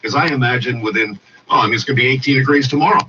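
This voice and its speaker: A man's voice: medium pitch, rapid-fire. A man hosting talk radio, interviewing and presenting in a conversational style. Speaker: radio host. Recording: dull distant radio playback, clear noise.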